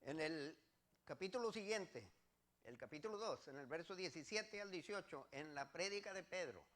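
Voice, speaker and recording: A man's voice: a little high, animated, moderate pace, fairly bright tone. A man with a Mexican accent preaching as a pastor, teaching scripture step by step to a congregation with emphatic, repeated phrases.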